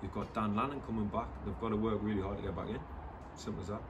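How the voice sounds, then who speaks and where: A middle-aged man, a football first team coach, talking in a relaxed, proud, chatty way after a match, often restarting sentences. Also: fairly low pitch, fast talker, dull audio. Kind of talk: post-match interview. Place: football stadium stand, outdoors. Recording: distant outdoor camera audio with noise.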